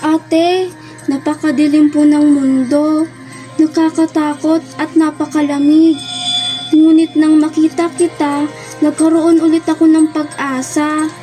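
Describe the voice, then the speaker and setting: Woman playing slow, high-voiced ghostly child. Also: level tone, dark tone, faint music behind. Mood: wistful, hopeful. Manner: solemn, measured, polite. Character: gentle ghostly child guardian, solemn and devoted. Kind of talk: amateur radio drama acting.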